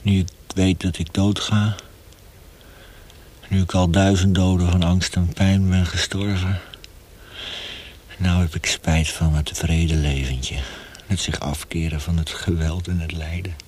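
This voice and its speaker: A tormented, halting young prisoner, low-voiced. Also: male voice, steady tone, husky. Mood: resigned, regretful. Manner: halting, with broken restarts and hushed passages. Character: tortured, feverish young male prisoner facing death. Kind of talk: radio drama monologue.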